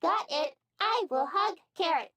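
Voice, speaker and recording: Innocent little mascot critter, extremely high, slow, childlike halting phrases, anime game. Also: female voice, lively intonation, fairly bright tone, no room sound, heavily acted. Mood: innocent, curious.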